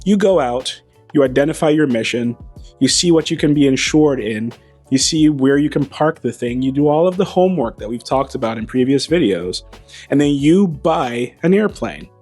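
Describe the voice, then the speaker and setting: Host, male, slightly hoarse voice, wry and playful delivery, mid pitch, small clip-on mic in a hangar, faint music under him. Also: expressive, moderate pace, a little mellow. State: losing his voice, slightly hoarse. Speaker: aviation channel host. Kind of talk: casual monologue to camera. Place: beside a plane in a hangar. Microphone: small wireless mic.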